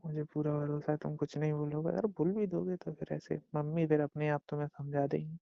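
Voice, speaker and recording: A young man with a gravelly voice, chatting casually and intimately with his partner on a phone call. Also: fairly high pitch, steady tone, brisk, no echo. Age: young adult. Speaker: boyfriend. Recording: muffled lo-fi phone call recording.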